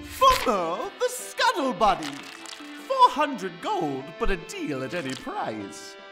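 A woman voicing a sly, theatrical warlock shopkeeper creature in a comic dub, with grandiose, drawn-out delivery. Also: mid-range voice, wildly swooping pitch. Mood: showy salesmanship. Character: theatrical sinister shop-keeping warlock creature, sly salesman. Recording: distant voice under loud music.

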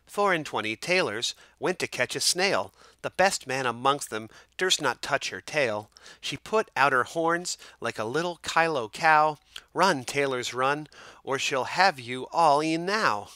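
A man voicing a chorus of cheeky little male mice in a storybook, chanting in a high, loud sing-song. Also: lively intonation, unhurried, dry recording. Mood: singing gaily.

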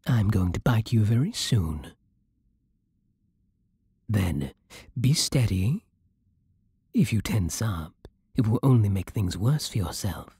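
A man with a British accent playing a possessive, seductive vampire king in an intimate ASMR roleplay, commanding and formal, with a soft, husky voice. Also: on the low side, dry recording, exaggerated voice. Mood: smug, low. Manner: formal, slow, teasing, imperious. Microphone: close, dry microphone.

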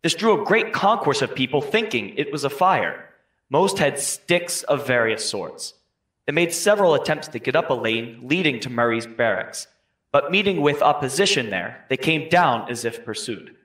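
A man's voice: medium pitch, expressive, normal speed, fairly bright tone, natural voice. Guy playing colonial witness in a trial drama, formal careful answers, echoey room. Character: 18th-century townsman witness, careful and plain-spoken. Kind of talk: scripted courtroom testimony re-enactment.

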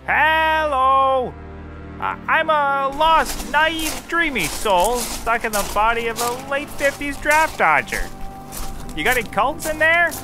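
A man's voice: very high-pitched, bright, forceful tone, full character voice. A man playing a cocky, bumbling cheap private detective in a scripted comedy, rambling with theatrical flair. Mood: calling out. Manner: hammy, rambling, theatrical delivery. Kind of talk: scripted audio comedy, noir detective parody.

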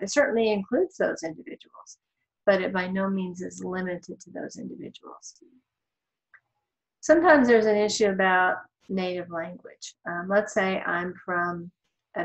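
A woman's voice: mid-range voice, low-quality recording. Woman lecturing with frequent ums. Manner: thoughtful, explanatory, many ums and restarts. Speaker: college law instructor. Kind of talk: recorded slide lecture.

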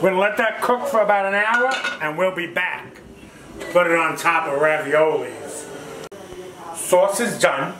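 High-pitched middle-aged man, echoey kitchen. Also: a little slow, noisy background. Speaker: cooking show host. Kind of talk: casual cooking demonstration. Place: home kitchen. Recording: roomy echoing kitchen, music behind.

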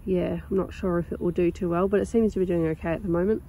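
A young woman with a croaky sore throat, chatting casually and rambling with lots of ums while filming her garden outdoors on a phone. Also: fairly low pitch, steady tone, fast talker, slight background noise. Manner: rambling, chatty, with many ums and soft laughs. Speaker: gardening vlogger. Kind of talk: casual chat.

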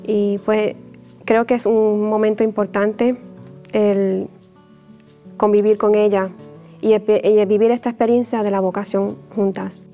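A woman in her thirties from Puerto Rico, a religious sister giving a gentle, unhurried personal testimony to camera on a lapel mic in a church chapel, with soft fillers and small laughs. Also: medium pitch, flat delivery, soft background music. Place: indoor church chapel, mid-sized.

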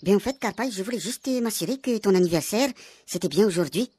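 Woman doing a quick-talking Réunion Creole man Rakoto. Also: medium pitch, animated, dark tone, slightly rough. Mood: sheepish. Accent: Réunion Creole French. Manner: quick, hesitant with fillers. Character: friendly, scheming Malagasy man Rakoto.

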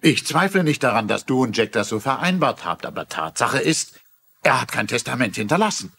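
An actor playing a reasonable, placating family lawyer in a German-dubbed TV crime drama. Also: male voice, medium pitch, sing-song, brisk, vocal fry, bright, forceful tone, slightly rough, heavily acted.

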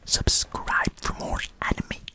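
A man in his twenties whispering playfully into a close microphone in ASMR style, repeating words over and over. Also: takes it slowly. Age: 20s. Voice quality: breathy, unvoiced whisper. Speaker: ASMR video creator. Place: indoors in front of a green screen. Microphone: large desk microphone at close range.

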